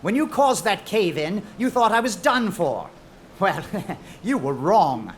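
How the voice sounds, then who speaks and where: A man playing a bitter, obsessive treasure hunter in a macabre audio drama, speaking with deliberate theatrical menace. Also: high-pitched, very animated, a little hiss or hum, heavily acted. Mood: bitter, accusing. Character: bitter, obsessive male treasure hunter, vengeful rival named Jacob.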